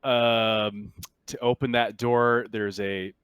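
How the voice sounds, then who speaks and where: Smooth, dry-miked man running a tabletop game. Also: mid-range voice, flat delivery, a bit bright, no room sound. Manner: halting, searching for words. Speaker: game master. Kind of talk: casual game narration and rules explaining.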